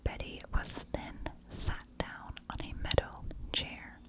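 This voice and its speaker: A woman narrating a story as an ASMR host, whispering in short, deliberate phrases. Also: poor audio.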